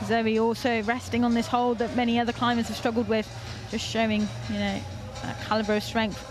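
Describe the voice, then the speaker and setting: A woman's voice: on the high side, flat delivery, brisk, over music. Chatty woman commentating climbing. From North Wales. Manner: chatty, encouraging, cheers climbers on. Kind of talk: live sports commentary.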